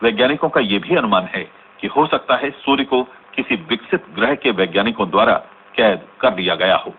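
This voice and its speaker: Actor as low-voiced formal radio newsreader man. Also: animated, close to an everyday voice. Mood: formal, grave. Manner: formal, measured announcing. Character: formal, grave male radio news reader. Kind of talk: scripted news bulletin in radio drama. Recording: tinny, band-limited, noisy radio recording.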